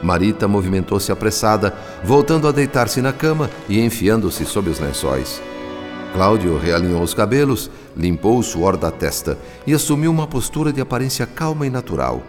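An actor playing a solemn, devout narrator, telling the story theatrically in a radio drama. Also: male voice, on the low side, big pitch swings, moderate pace, faint music behind. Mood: solemn, storytelling. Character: solemn, devout male spirit narrator, measured and moralizing. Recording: long cavernous reverb.

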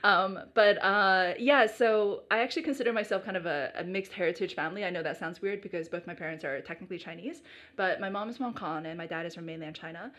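A woman's voice: on the low side, fast, a bit bright. A young woman from the United States, chatting casually as an interview guest, with lots of fillers and easy laughter. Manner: chatty, many fillers and restarts, laughs easily. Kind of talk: casual conversation.

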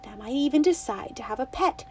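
Fields: Figure: woman playing a restless young girl. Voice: high, wistful. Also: lively intonation, fast talker, mellow, slight background noise. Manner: pleading, then defiant.

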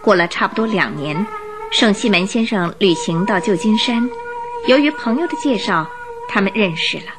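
A woman narrating a radio drama in an expressive, emotive storytelling style from a script. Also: mid-range voice, normal speed. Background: music.

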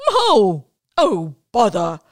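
A woman voicing a big, gruff, hungry male troll who roars and bellows in a children's story. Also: huge pitch swings, very slow, heavily acted. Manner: booming, roaring and bellowing.

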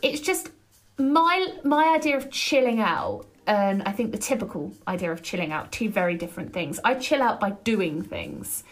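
A woman hosting her own podcast, talking alone in an energetic, chatty way with a loud voice and frequent ums. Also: medium pitch, normal speed. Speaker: podcast host. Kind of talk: solo podcast monologue.

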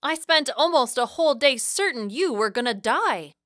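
A woman voicing a stubborn, sharp-tongued young woman in love and hurt, in emotional audiobook dialogue. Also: sits high, lively intonation, normal speed, no room sound, studio quality, pitched above the performer's own voice. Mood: defensive, angry. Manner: emotional, blunt, argumentative.

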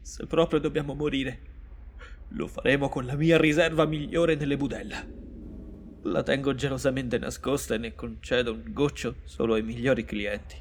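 A man's voice: medium pitch, fairly even intonation, normal speed, a little hiss or hum, natural voice. An actor playing a frightened, weary male innkeeper of a forest inn in an Italian audio drama. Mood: bitter toast.

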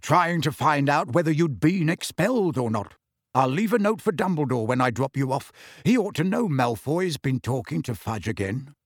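A man voicing a kindly but harried middle-aged wizard father who talks brisk and earnest, in a dry audiobook reading. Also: fairly high pitch, expressive, slightly rough, no room sound. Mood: earnest, agitated. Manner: brisk and earnest, clipped when tense.

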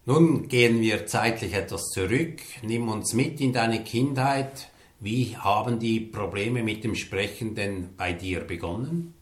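A man interviewing a guest, asking calm, measured questions. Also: fairly low pitch, roomy sound.

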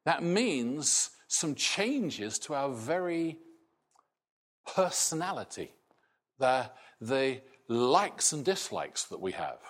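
A middle-aged man raised in Scotland, with a softened Scottish accent, preaching a sermon in a slow, halting way with many restarts. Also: medium pitch. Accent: Scottish, largely softened. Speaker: pastor.

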